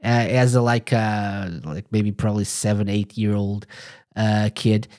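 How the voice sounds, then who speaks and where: A man's voice: fairly low pitch, little pitch movement, a little slow, a little mellow. A man from Portugal hosting a podcast, telling rambling stories in casual chat and correcting himself as he goes. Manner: rambling, self-correcting storyteller. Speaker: podcast host.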